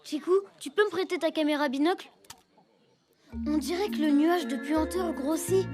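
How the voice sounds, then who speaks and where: A woman voicing a clever, cheerful young elephant boy in a cartoon, with a bright, eager voice. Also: high-pitched, normal speed, close to an everyday voice. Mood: curious. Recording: music under the dialogue.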